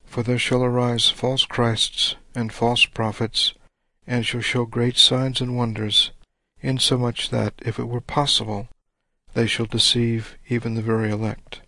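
A man preaching a sermon, reading scripture slowly and deliberately, his voice a little rough and congested. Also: medium pitch, little pitch movement, sharp tone, dry recording. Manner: deliberate, measured reading of old-style scripture.

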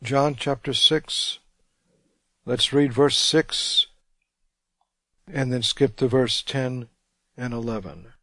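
A man preaching a radio sermon, congested, reading scripture slowly and deliberately. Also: mid-range voice, steady tone, pushed and bright, no echo.

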